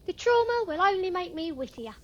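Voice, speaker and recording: A woman's voice: very high voice, sing-song, brisk, highs cut off. A woman playing a cheeky, wisecracking young boy with a plucky, theatrical manner in a radio play.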